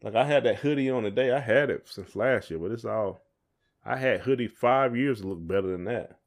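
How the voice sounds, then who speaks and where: A man's voice: medium pitch, a little fast. A man co-hosting a podcast in casual chat, rambling and repeating phrases as he thinks aloud. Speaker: podcast co-host.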